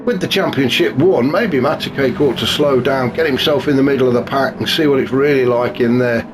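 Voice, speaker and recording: A man commentating a sim race in a chatty, wry way, his voice a bit gravelly and snuffly from a cold. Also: medium pitch, brisk. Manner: chatty and wry, many fillers. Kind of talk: live sim racing commentary. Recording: noisy with car engine sounds behind.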